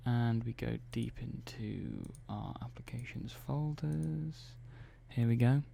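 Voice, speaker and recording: A man playing a calm, methodical robot engineer in an ASMR roleplay, speaking softly and slowly with hesitant pauses. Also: medium pitch, creaky, a little hiss or hum. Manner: soft-spoken, slow and hesitant, many pauses and "well"s.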